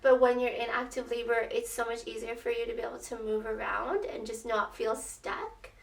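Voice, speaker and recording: Young woman, casual vlog storyteller, faint rasp, chatty and expressive, roomy echoing sound with a distant microphone. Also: fairly high pitch, moderate pace. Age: young adult. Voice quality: slightly raspy. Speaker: vlogger telling her birth story. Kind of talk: casual personal storytelling to camera.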